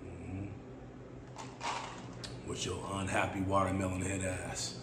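A raspy-voiced man in his thirties hosting his own podcast, talking in a laid-back, playful way and repeating his phrases, seated indoors and recorded on a camera mic at mid distance. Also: sits low, slow. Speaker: podcast host. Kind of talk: casual solo podcast monologue. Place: small room indoors, seated. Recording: echoey room with steady background hiss.

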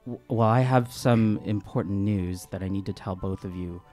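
A man's voice: fairly low pitch, normal speed, warm tone, soft background music. A man playing a tabletop game, roleplaying casually into a close microphone at a table. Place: room, group around a table. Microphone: table microphone on arm, close.

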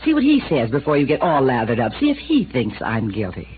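A cool, evasive widow. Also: female voice, a bass voice, huge pitch swings, a little fast, heavily acted. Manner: evasive and coolly defiant. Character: cool, guarded young widow of a police chief. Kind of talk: 1950s radio drama acting. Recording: tinny old radio broadcast with faint hiss.

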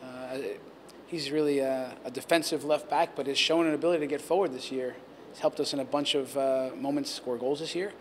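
Man in his forties, football coach, post-game press talk, measured and earnest, faint room hum. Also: medium pitch, fairly even intonation. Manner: measured, earnest, many restarts and fillers. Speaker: head coach. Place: press backdrop area, indoors. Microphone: handheld reporter mics held close.

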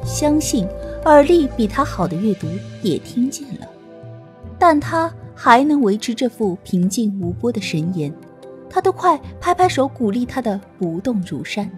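High-pitched, expressive woman narrator. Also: normal speed. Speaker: audiobook narrator. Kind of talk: scripted novel narration. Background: faint music.

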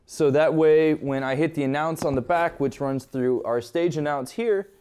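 A man's voice: fairly high pitch, moderate pace, a little mellow, clean tone. A man presenting a hands-on equipment tutorial, explaining step by step in an informal, conversational way.

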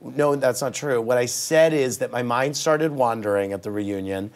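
A man in his forties hosting a talk show, speaking candidly and quickly with frequent restarts. His voice sits at a middle pitch and is picked up close on a lapel mic in a television studio. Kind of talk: casual interview chat. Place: TV studio set.

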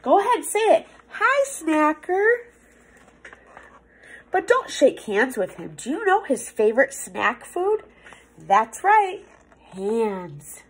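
A young woman reading a picture book aloud to children like a teacher, theatrical and playful, drawing words out for effect. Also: high voice. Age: young adult. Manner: theatrical, expressive, playful, with drawn-out words and hushed asides. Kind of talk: animated storybook read-aloud for children. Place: small office room indoors.

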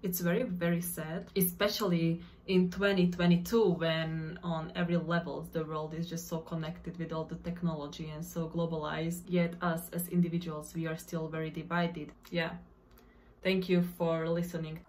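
A young woman from Slovakia, a native Slovak speaker, calmly explaining sights in a travel vlog, filmed on a phone at arm's length. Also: deep voice, moderate pace, a little hiss or hum. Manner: calm, explanatory, turns soft and reflective. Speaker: vlogger. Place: apartment rooms and outdoor town overlook. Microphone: phone camera at arm's length.

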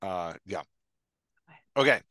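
A man in his forties with a very lively, swooping delivery and a bright, forceful voice. He banters casually as a podcast host, joking at his own expense, close and dry on a headset mic. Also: low-pitched, takes it slowly, dry recording. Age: 40s. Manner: jokey, self-deprecating. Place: indoor room, on a video call.

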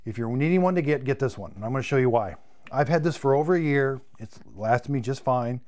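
A man's voice: medium pitch, animated, fairly quick, darkish tone. A man in his fifties, a product reviewer demonstrating cleaning gear on a live stream, chatty and enthusiastic, repeating words as he goes, and losing his voice. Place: home studio room with shelves of vacuums.

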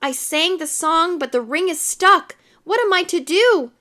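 Woman voicing an excitable, panicky young man, high-pitched. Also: a little slow, full character voice. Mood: desperate pleading. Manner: pleading, exclamatory. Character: excitable young man, vain, lovestruck-chased, easily panicked.

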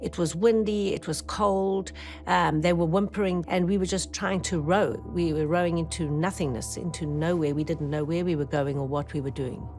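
A middle-aged woman, giving documentary testimony as a shipwreck survivor, retelling events vividly with halting restarts, in a dark studio on an off-camera mic. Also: on the low side, steady tone, music in the background. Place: dark indoor interview studio.

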